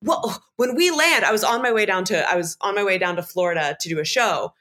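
A woman's voice: medium pitch, brisk, sharp tone. A woman guest telling a rambling, laughing anecdote in casual chat. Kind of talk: casual storytelling.

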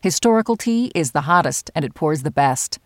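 An announcer reads a scripted podcast ad in a smooth, polished way. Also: mid-range voice, lively intonation, a little fast, no room sound, clean studio sound. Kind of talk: scripted ad read.

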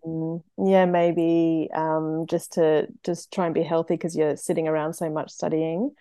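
Aussie woman from queensland, lowish voice, dry close sound, lots of ums. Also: level tone, a little slow, clean tone, dry recording. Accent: Australian. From Brisbane, Queensland. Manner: hesitant, many ums, laughs softly. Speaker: guest, veterinarian and runner. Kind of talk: casual interview chat.

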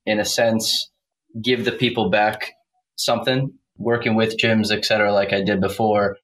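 A man from Croatia, a chiropractor and podcast guest, speaking plainly with some halting restarts. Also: on the low side, level tone, a bit bright, rough recording. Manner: plain, halting, uses fillers. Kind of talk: casual interview answers.

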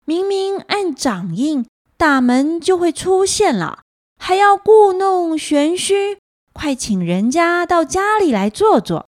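A woman voicing a gentle, welcoming mother leopard with a soft voice, in a children's story. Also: very high-pitched, sing-song, slow, no echo, clean studio sound, pitched above the performer's own voice, heavily acted. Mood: mild scolding.

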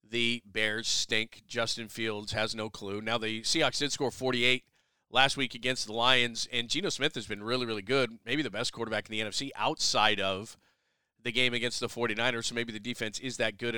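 A man hosting a sports podcast, slightly hoarse, firing off quick opinions with self-mocking asides. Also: medium pitch, pushed and bright, no room sound. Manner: brash, rapid-fire opinions with self-deprecating asides. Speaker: sports radio host. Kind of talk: solo sports podcast commentary.

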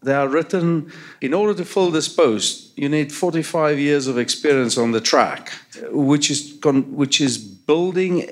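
A man's voice: on the high side, unhurried. A man with a South African accent, speaking earnestly as a transit executive on a conference panel, often repeating words as he thinks. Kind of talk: panel discussion.

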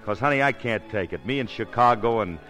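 An actor as a brash, animated young American husband. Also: male voice, medium pitch, a little fast, faint music behind, natural voice. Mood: earnest, reassuring. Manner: slangy, wisecracking, exuberant. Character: brash, devoted young husband, a vaudeville hoofer. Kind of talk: 1950s American radio drama acting.

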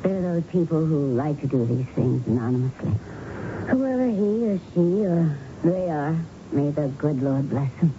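Gentle widow, lowish voice, hesitant and sincere, muffled old radio drama. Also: female voice, animated, moderate pace. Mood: wondering. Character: gentle, grateful policeman's widow with children. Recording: muffled vintage radio, noise behind.

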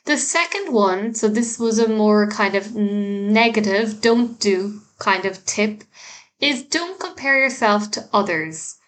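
Irish woman, podcast host, earnest encouraging tone, mid-pitched voice, restarts and fillers. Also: slow, fairly bright tone. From Ireland. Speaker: podcast host, language teacher. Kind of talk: solo podcast monologue giving tips.

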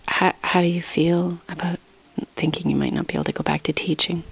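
A woman's voice: lowish voice, big pitch swings, brisk, vocal fry, slight background noise, low-quality recording. A woman interviewer asking questions in a casual, conversational way.

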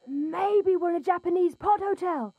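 A woman's voice: very high-pitched, unhurried, dry recording, narrow, tinny audio. A woman acting a groggy, scatterbrained, playful character in an audio drama, chattering dreamily and easily distracted. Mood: playful, oblivious.

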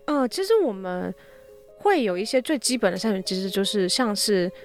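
A woman's voice: mid-range voice, big pitch swings, normal speed, faint music behind. A woman with a Taiwanese Mandarin accent, explaining things casually as an interview guest and thinking aloud as she goes. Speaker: guest, founder of an audio drama app.

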